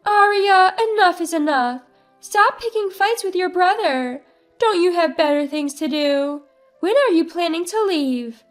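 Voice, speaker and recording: A harsh older mother who scolds her grown daughter in a sharp, impatient voice, acted by a woman narrator. The pitch sits high and the pace is slow and deliberate. Faint music plays underneath. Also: expressive. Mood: scolding.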